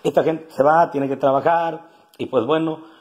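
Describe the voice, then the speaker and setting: High-voiced, husky coastal Mexican man. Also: steady tone, normal speed, darkish tone, dry recording. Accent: coastal Guerrero Mexican. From Mexico, Guerrero coast. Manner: earthy, swearing, colloquial. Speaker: vlogger. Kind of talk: casual selfie vlog monologue to camera. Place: outdoors on a roadside, starting indoors in a house. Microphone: phone at arm's length.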